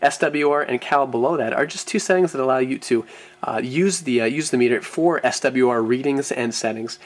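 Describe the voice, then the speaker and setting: A man with a mid-pitched voice walks through a product as a reviewer. He speaks off the cuff in a hesitant way, filling gaps with ums and restarting words as he explains. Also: a little fast. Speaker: product reviewer, radio shop presenter. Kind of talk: unscripted product walkthrough.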